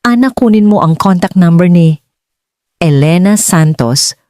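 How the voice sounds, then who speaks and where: Woman voicing a halting, remorseful billionaire man, mellow. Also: fairly low pitch, animated, no echo, clean studio sound. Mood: subdued. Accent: Filipino. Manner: halting, faltering. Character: remorseful, hesitant male billionaire boss.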